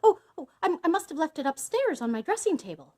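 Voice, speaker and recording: A desperate young fairy-tale wife, acted by a woman narrator, meek and frightened. The voice is high and trembling, sweeping up and down as it pleads. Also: expressive, moderate pace. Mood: flustered. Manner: high, trembling, stammering pleas. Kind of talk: dramatised fairy-tale storybook reading.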